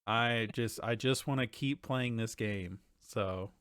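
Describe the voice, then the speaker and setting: A man with a mid-pitched voice talks in an informal, enthusiastic way as a podcast co-host. The sound is dry, and he chats casually as if with a friend. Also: slow pace, a bit bright, clean tone, dry recording. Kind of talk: casual chat about video games.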